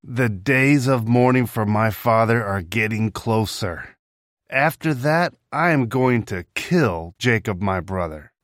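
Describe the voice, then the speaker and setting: A man's voice: fairly low pitch, animated, slow, fairly bright tone, no room sound, clean studio sound. A man voicing an anguished grown son in a dramatized scripture reading, crying out in pleading, rising tones. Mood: vengeful.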